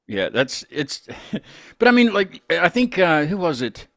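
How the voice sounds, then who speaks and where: An American man hosting an interview show, chatting casually in a rambling, halting way. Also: a little high, big pitch swings, fairly bright tone, no echo, studio quality. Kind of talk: casual chat.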